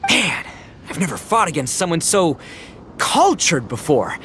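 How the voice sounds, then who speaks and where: An actor playing a boisterous, good-hearted young oni gang boss in a video game, slangy, goofy and exclamatory. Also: male voice, a little high, sing-song, unhurried, bright, forceful tone, a little hiss or hum, full character voice. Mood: enthusiastic. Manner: slangy, exclamatory, dim but enthusiastic.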